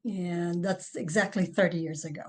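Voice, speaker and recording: A woman's voice: fairly low pitch, normal speed, a little mellow. A middle-aged or older woman from the Philippines with a Filipino accent, answering interview questions as an author. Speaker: author, podcast guest.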